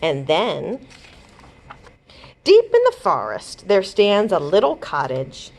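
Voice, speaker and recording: Lady storyteller reading a kids book, expressive, pitch moves around a lot. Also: takes it slowly. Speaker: librarian host and storyteller. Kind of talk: children's read-aloud narration.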